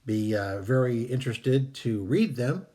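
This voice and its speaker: A man hosting a video, calmly reading out and explaining a meditation technique with some hesitant fillers, while fighting a cold that is costing him his voice. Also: medium pitch. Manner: calm, measured, with hesitant fillers. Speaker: host.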